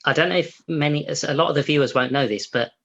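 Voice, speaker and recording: A man's voice: fairly high pitch, quick pace, vocal fry, a bit bright, dull audio. A man hosting a live interview over a video call, talking loosely and restarting his sentences as he goes. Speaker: host.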